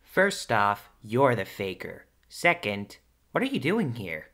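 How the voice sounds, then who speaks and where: Man acting young cartoon hedgehog hero, brash, teasing, very animated pitch, casual delivery, audio drama. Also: slow, exaggerated voice. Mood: cheeky.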